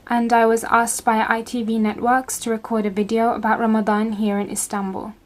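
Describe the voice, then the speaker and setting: A young woman, recording a casual video account at home, talking in a measured way with frequent ums. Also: a little high, flat delivery, a little hiss or hum. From England. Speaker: contributor recording a video report. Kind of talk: casual first-person account. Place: home room with bookshelves.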